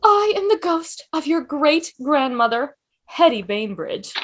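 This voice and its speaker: A woman's voice: high voice, very animated, a little slow, a bit bright, pitched above the performer's own voice, exaggerated voice. A woman voicing a haughty older society lady ghost from the Victorian era, prim and disapproving. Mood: stately.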